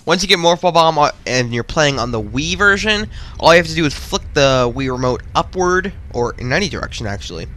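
A man giving casual, excitable, rambling commentary while playing through a video game. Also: a little high, a little fast. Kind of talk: casual let's-play commentary.

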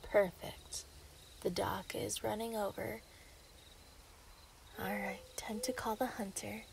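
Woman playing a slow, menacing female werewolf villain. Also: medium pitch, little pitch movement, slight background noise. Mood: sinister glee. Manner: taunting, breathy, often whispering, chuckling. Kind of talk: hobby ASMR roleplay audio drama.

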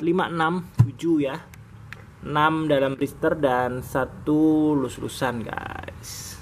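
A man hosting a relaxed toy review vlog, talking casually to viewers as he unboxes toy cars at a table. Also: fairly high pitch, a little hiss or hum. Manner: relaxed, chatty, sprinkled with "guys". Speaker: diecast toy channel host. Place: indoors at a table, off camera.

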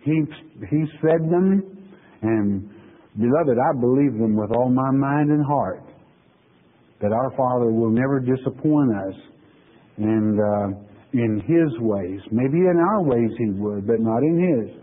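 A man pastor giving a folksy, conversational sermon, reading verses slowly and then explaining them plainly, often checking in with "okay?". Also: medium pitch, lively intonation, narrow, tinny audio. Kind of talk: sermon lecture on scripture.

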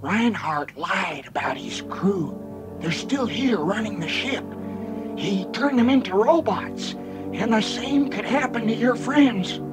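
An actor voicing a battered old robot who talks in an anxious, hushed, urgent way. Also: male voice, high-pitched, expressive, slow, over music. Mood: urgent warning.

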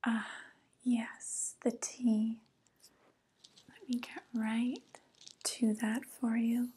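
A young woman playing a softly coaxing evil witch in an ASMR roleplay, whispering slowly and gently, close to the microphone. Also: fairly high pitch, exaggerated voice. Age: young adult. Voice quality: breathy whisper. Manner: slow, gentle, flattering, falsely kind. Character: soft, coaxing evil witch luring a guest.

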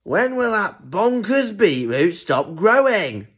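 Man putting on an exasperated, high-pitched grumpy penguin. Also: big pitch swings, a bit bright, husky, full character voice. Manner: exclamatory, exasperated, drawn-out words. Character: grumpy, frantic penguin exclaiming in bewilderment. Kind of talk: children's storybook character voice.

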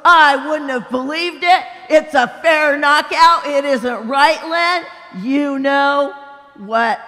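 A woman voicing a blustering, aggrieved man's ghost who shouts his complaints in a storybook reading. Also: high-pitched, slow pace, voice pushed up, full character voice. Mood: outraged. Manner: loud, indignant, shouting. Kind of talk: audiobook character voice. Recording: long hall reverb.

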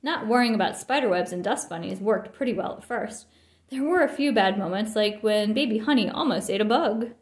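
A woman's voice: medium pitch, animated, a little fast, echoey room. A woman reading a children's picture book aloud with expression. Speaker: storybook reader.

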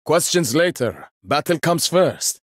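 A proud young inventor-warrior. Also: male voice, a little high, animated, no echo. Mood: confident. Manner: measured, proud, dry wit. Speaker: game character. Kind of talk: video game voice lines.

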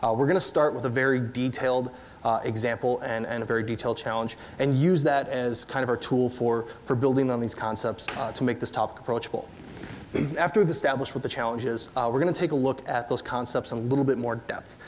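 A man in his thirties with an upper Midwest accent, giving a relaxed technical conference talk with lots of fillers and questions to the audience. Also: medium pitch, fast, a little hiss or hum. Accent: upper Midwest American. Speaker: conference presenter. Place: large dark stage before an audience.

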